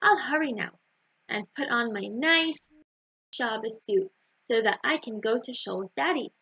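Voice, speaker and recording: A woman voicing an earnest, forgetful young boy in a children's story, eager and expressive. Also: fairly high pitch, a little slow, creaky, husky, heavily acted. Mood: excited. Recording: dull, roomy lo-fi recording.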